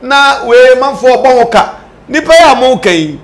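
A man's voice: extremely high pitch, animated, moderate pace. A middle-aged man with a Ghanaian accent discussing politics emphatically, asking pointed questions and repeating his phrases. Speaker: political commentator. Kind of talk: animated political discussion, switching between languages. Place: indoor studio set.

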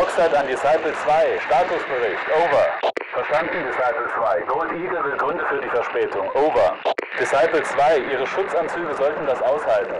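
An actor playing a brisk, stern military base radio operator in a video game, clipped and authoritative over radio. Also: male voice, mid-range voice. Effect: military radio filter. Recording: very noisy military radio, outdoor ambience.

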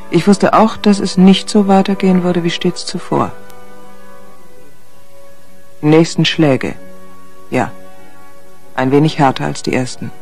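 Creaky-voiced, guarded wife. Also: female voice, deep voice, a little mellow. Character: abused wife, tense, defensive, grimly resolved. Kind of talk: German radio crime drama acting.

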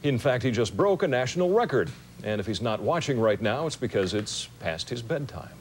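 A man's voice: medium pitch, big pitch swings, brisk. A middle-aged American man reading the news in a scripted broadcast style as a TV anchor. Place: TV news studio. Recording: noisy old TV broadcast tape.

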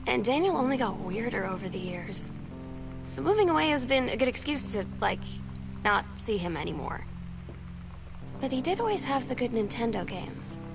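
A teen girl's journal narration in a game, a touch high with a gravelly edge. Clear music plays under her voice throughout. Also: sing-song, moderate pace. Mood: wistful. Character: teenage girl reading her journal.

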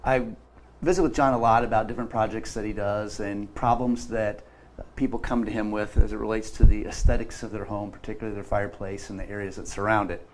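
A man's voice: lowish voice, fairly even intonation, fairly quick, a little hiss or hum, poor audio. A man in his fifties hosting a casual studio interview, asking friendly, rambling questions. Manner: friendly, meandering questions with restarts. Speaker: show host. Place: bright studio set at a table.

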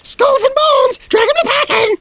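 A man voicing a frantic male parrot that screeches and squawks in a very high, shouted voice. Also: normal speed, creaky, dry recording, heavily acted. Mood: frantic shrieking.

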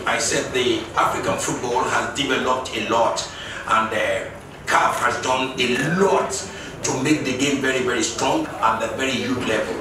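A man's voice: medium pitch, background noise. A middle-aged man speaking as the winning football coach at a post-match press conference, expansive and emphatic, his voice climbing on the points he stresses. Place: large echoey press room. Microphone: table mic at a distance. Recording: echoey room, mic at a distance.

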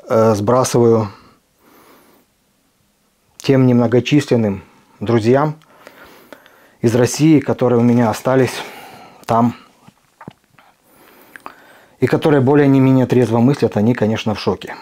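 A middle-aged man from Ukraine who runs a video blog. He gives an impassioned address straight to camera, speaking earnestly and emphatically with deliberate pauses. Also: medium pitch, warm tone. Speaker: video blogger.

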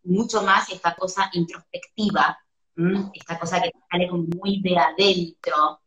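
A woman's voice: lowish voice, steady tone, unhurried, a bit bright, echoey room, lo-fi sound. A woman in her thirties with a Rioplatense Spanish accent, hosting an interview over a video call and working out her questions as she speaks. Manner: thoughtful, searching for words. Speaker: host, interviewer. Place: room at home.

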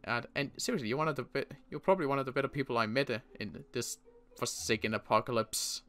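A young man playing a protective survivor in an in-character roleplay, talking in a halting way with frequent restarts. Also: medium pitch, fairly bright tone, soft background music. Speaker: roleplay series player.

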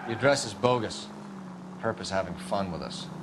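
An actor playing an earnest, by-the-book American homicide detective in a video game, clipped, formal and businesslike. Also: male voice, fairly low pitch, normal speed, background noise, natural voice. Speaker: police detective. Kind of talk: video game dialogue, crime drama.

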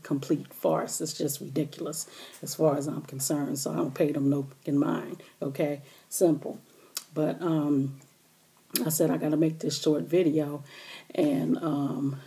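A middle-aged woman talking quietly and hoarsely to her camera at home, rambling with many fillers as she gives her viewers an update. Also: sits low. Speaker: vlogger. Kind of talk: casual direct-to-camera update. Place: small bedroom indoors. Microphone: webcam or laptop camera close up.

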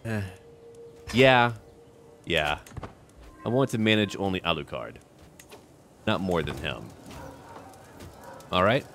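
A man's voice: sits low, expressive, slow pace, long pauses, fairly bright tone, slight background noise. A man giving casual running commentary on a strategy game, talking to himself and to the game's characters. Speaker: gameplay video host. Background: music.

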